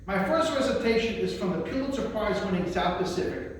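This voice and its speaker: Male poetry reader, notably high voice, theatrical emphasis, heard from a distance in a very reverberant space. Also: lively intonation, a little fast. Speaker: librarian presenting a poetry reading. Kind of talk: poetry recitation with spoken introductions. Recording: roomy echo, distant mic, faint noise.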